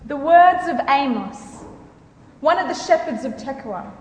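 A woman's voice: high voice, expressive, measured pace, darkish tone. A woman giving a solemn, declamatory dramatic reading of scripture. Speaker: reader in a church dramatic reading. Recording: live hall recording with long reverb.

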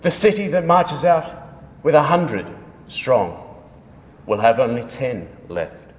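A man's voice: mid-range voice, sing-song, slow. Man performing stern God's prophet in echoing hall. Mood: mournful, urgent. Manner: solemn, declamatory, emphatic. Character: stern, wrathful God of Israel speaking through a prophet. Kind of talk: dramatic scripture reading. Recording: echoey hall with long reverb.

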